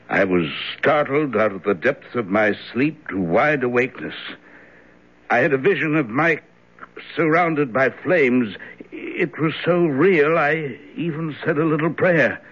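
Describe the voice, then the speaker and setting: A man's voice: medium pitch, a bit bright, slightly rough, exaggerated voice. An actor playing an earnest, reflective older reverend and father in an old-time radio drama. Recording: dull lo-fi old radio recording.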